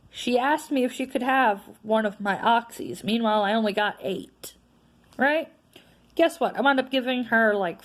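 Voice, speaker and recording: Loud, fed-up woman venting. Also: a little high. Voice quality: naturally loud voice. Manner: frustrated, ranting, frequent "right?" tags. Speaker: vlogger. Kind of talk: casual venting monologue.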